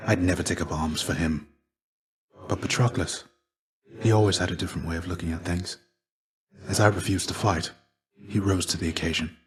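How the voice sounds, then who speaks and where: An actor voicing a stoic, weary dead Greek warrior and fatherly mentor in a video game, speaking in a measured, formal, restrained and gently grave way. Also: low-pitched, lightly acted. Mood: somber, regretful. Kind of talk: video game character dialogue.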